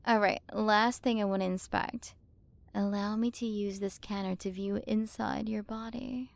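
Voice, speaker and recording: A woman playing a haughty, curious alien galaxy queen in an ASMR roleplay, soft and condescending, possessive of her human. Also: medium pitch, fairly even intonation, measured pace, vocal fry, no room sound. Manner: soft, condescending, teasing, at times whispered.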